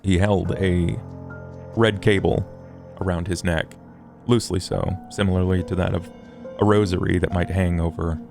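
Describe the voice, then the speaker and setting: A man playing a scholarly, formal vampire in a tabletop game, speaking in measured, wordy phrases over music. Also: steady tone. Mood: formal, pondering. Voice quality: low, gravelly fry. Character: scholarly, formal, morose male vampire occult theorist. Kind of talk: in-character tabletop roleplay.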